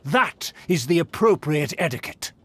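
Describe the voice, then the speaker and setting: A snobbish male aristocrat for a video game. His voice sits on the high side and swings expressively as he lectures in stiff, formal phrases, full of disdain for lesser folk. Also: animated, moderate pace, fairly bright tone, husky. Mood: haughty, scolding. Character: haughty, disdainful male aristocrat of a fallen noble clan. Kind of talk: English video game voice acting.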